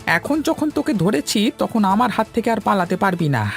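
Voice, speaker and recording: Man voicing a high, creaky aggrieved village grocer. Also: big pitch swings, moderate pace, faint music behind, heavily acted. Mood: angry, accusing. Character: aggrieved village grocer, worried father of a daughter. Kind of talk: Bengali cartoon dialogue.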